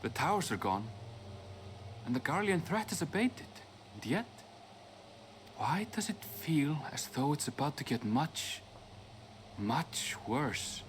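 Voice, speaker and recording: An actor voicing a wary man in a video game who senses doom approaching, speaking in a hushed, brooding way. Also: fairly high pitch, sing-song, slow pace, pauses often, slight background noise. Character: uneasy adult man sensing looming doom.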